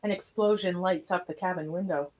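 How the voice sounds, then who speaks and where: A woman's voice: on the low side, fairly even intonation, a little fast. A woman reading scripted stage directions as the narrator of a radio theatre piece. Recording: noticeable background noise, clicks.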